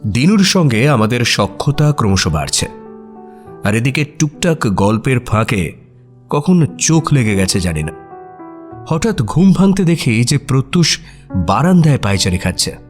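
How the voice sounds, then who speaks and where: A detective's companion telling a mystery story. His mid-pitched voice moves in lively swings, expressive and suspenseful, with faint music underneath. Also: measured pace. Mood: observant, suspenseful. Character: male detective's companion narrating a mystery, observant and engaged. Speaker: story narrator. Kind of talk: dramatic scripted audio story narration.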